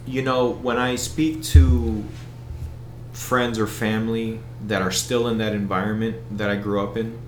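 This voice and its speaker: A man's voice: on the low side, little pitch movement, measured pace, echoey room, slight background noise. A man with an American accent, talking casually as an interview guest and circling back through his sentences. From United States. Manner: rambling, many restarts and fillers. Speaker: event organizer, guest.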